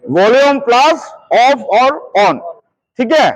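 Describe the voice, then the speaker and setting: A man speaks in a strikingly high voice, recorded quite dry. He teaches like a practical trainer, repeating himself and regularly checking that everyone follows. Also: expressive, slow pace, dry recording, rough recording. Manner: repetitive, checks understanding often. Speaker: security guard trainer. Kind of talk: hands-on instructional lesson.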